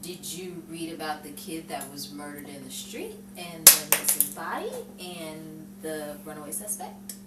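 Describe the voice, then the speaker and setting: A woman's voice: low-pitched, unhurried. A woman playing a plucky, wry 1940s newspaper reporter in a noir radio play, with theatrical, musing delivery. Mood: probing. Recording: echoey, distant, noisy room recording.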